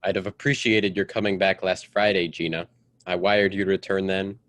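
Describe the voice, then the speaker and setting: A man playing a stuffy, domineering politician husband in a radio melodrama, with a brisk, formal, patronizing manner. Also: deep voice, level tone, fairly bright tone, no room sound. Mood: stiff, reproachful. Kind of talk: old-time radio drama acting.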